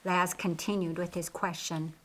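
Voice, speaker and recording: A woman narrating a scripted story as an audiobook, reading deliberately and expressively. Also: on the low side, flat delivery, a bit bright, poor audio.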